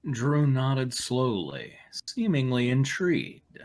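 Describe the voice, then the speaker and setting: Animated man narrating fan fiction. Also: medium pitch, slow pace. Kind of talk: fan fiction read-aloud with casual riffing.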